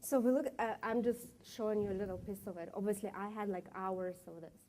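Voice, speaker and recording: A woman's voice: mid-range voice, fairly even intonation, a little fast, mellow, a little hiss or hum. A woman presenting market research at a conference, explaining her points with frequent fillers and restarts.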